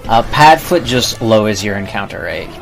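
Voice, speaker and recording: A man's voice: on the low side, animated, moderate pace, creaky. A man giving casual, laid-back gameplay commentary with dry asides, as a live streamer playing a video game. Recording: game music behind the voice. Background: video game music.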